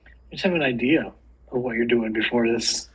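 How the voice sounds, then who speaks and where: A man's voice: mid-range voice, normal speed, breathy edge, rough recording. A man on a video call podcast, joking dryly and teasing the host. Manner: dry, teasing. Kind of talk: casual chat over video call.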